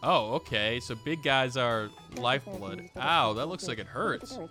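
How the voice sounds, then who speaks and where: A man's voice: mid-range voice, animated, a little slow, music in the background. A man giving casual, off-the-cuff gameplay commentary, rambling and interrupting himself as he thinks aloud. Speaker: gaming video host. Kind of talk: casual off-the-cuff let's play commentary, reading game text aloud.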